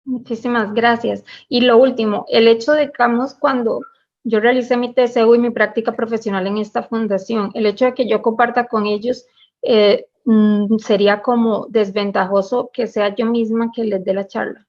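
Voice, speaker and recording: A woman asks her question on a video call, hesitating and filling pauses as she speaks. Her voice sits a little high, and the audio comes through dull and lo-fi. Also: fairly even intonation, darkish tone. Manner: hesitant, with fillers. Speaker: audience member.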